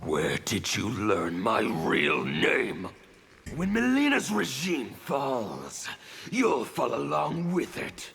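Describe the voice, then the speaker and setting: Gravelly, scarred revolutionary zealot for a fighting game, bright forceful edge, slow defiant delivery. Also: male voice, medium pitch, wildly swooping pitch, heavily acted. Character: bitter fanatical male revolutionary of chaos, scarred and menacing. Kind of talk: video game fight banter.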